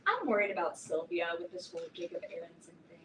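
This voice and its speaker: A woman in a staged reading playing an opinionated, excitable teenage fan club girl, chatty and emphatic. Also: on the low side, quick pace. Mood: earnest, worried. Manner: chatty, emphatic, peppered with like and literally. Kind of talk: staged reading of a play, naturalistic dialogue. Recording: roomy, distant mic, faint noise.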